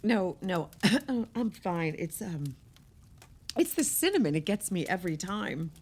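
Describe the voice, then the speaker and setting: An actress playing an effusive, sentimental party guest in a naturalistic audio play, speaking haltingly. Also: female voice, on the low side, sing-song, darkish tone, breathy edge, heavily acted. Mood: chatty. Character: gushing, sentimental woman guest at a holiday party.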